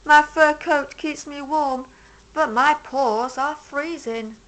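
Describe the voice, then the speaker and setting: A woman's voice: high voice, takes it slowly, fairly bright tone, low-quality recording. A woman voicing a friendly, easygoing male otter in a storybook, cheerful and plain-spoken.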